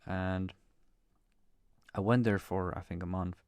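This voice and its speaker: A man's voice: low-pitched, steady tone, slow, a little mellow, no echo, clean studio sound. A young man hosting a podcast, talking casually and reflectively, hesitant with restarts and fillers. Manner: hesitant, repeats words, many fillers. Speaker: podcast co-host.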